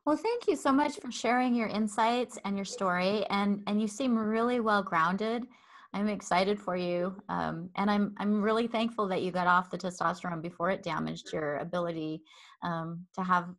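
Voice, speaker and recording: Earnest woman interviewer, lowish voice. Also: a bit bright. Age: adult with a child. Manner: earnest, wordy, frequent ums and repeats. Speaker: interview host. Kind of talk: interview conversation.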